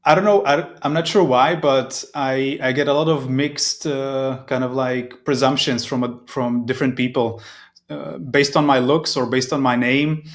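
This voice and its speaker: A man's voice: mid-range voice, fairly even intonation, moderate pace. Man in his thirties. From Serbia. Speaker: interview guest, proptech company representative. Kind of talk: conversational interview answers.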